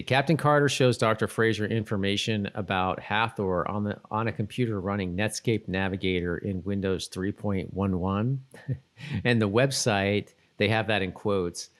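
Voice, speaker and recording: A man with a fairly low voice co-hosts a casual podcast. He rambles through his points in an informal, hedging way, now and then reading notes aloud. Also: measured pace, fairly bright tone. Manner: rambling, hedging, often saying "like". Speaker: podcast co-host. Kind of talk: casual chat about a TV episode, reading trivia aloud.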